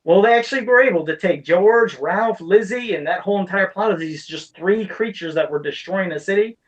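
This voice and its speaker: A man's voice: high-pitched, fairly quick. A man co-hosting a casual podcast, rambling about movies in an emphatic, blunt, sweary way, slightly distant from the microphone. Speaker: podcast co-host.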